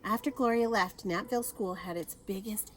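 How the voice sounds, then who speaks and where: Expressive woman reading aloud, noisy background. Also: mid-range voice. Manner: expressive, pausing to ask young listeners questions. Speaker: teacher reading aloud to children. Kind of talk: picture-book read-aloud with asides and questions to children.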